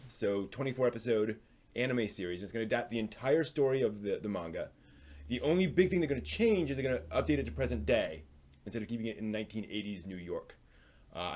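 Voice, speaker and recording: Low-voiced man hosting an informal news stream. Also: darkish tone. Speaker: live stream host.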